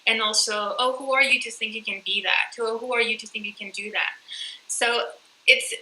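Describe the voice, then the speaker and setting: Bright, emphatic woman. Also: a little high, fairly even intonation, moderate pace, echoey room, poor audio. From France. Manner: earnest and emphatic. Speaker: guest coach and author. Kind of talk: conversational interview.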